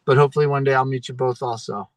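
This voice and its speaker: Brief: male podcast guest, mid pitch, easygoing and grateful, dry close recording. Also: fairly even intonation, rapid-fire, no echo. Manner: easygoing and appreciative. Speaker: guest filmmaker and musician. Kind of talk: casual interview chat.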